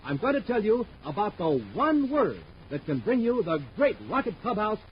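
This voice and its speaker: A man's voice: high voice, sing-song, normal speed. A man announcing and narrating in 1950s radio style with an emphatic, dramatic showman delivery on an old recording. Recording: muffled old radio recording, faint noise.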